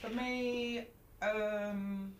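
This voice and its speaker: A woman's voice: mid-range voice, steady tone, extremely slow. A woman chatting casually as a panellist on a radio show. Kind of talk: casual chat. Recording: echoey, distant from mic, some noise.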